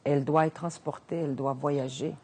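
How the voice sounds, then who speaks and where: A woman poet whose first language is Innu, speaking slowly and gently about her poetry. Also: low-pitched, fairly even intonation, very noisy. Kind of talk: reading poetry and speaking in an interview.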